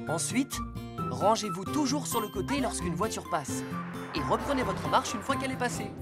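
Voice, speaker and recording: An actress voicing a friendly rescue police car in a cartoon, calmly teaching children road safety rules. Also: female voice, lowish voice. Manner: calm, instructive.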